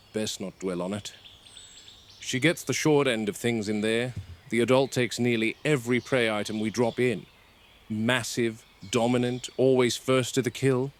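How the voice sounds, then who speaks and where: A man's voice: medium pitch, lightly acted. A man playing a stern, suspicious game warden with a clipped, sardonic delivery in a horror film. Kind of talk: found-footage horror film acting. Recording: noisy found-footage style audio.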